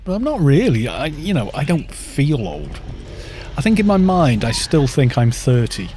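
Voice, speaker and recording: A man's voice: fairly high pitch, wildly swooping pitch, moderate pace, dark tone, a little hiss or hum. A British man in his fifties chatting in a rambling way while streaming a game and reading out viewer chat. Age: 50s. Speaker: gaming streamer.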